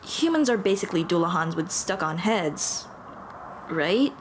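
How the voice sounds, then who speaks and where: A woman's voice: lowish voice, animated, a little slow. Woman voicing a nervous, stammering monster girl, noisy ambience. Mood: flustered, apologetic. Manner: hesitant, stammering, self-deprecating. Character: nervous, apologetic young female dullahan with a detachable head. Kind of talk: ASMR roleplay, intimate monologue to the listener.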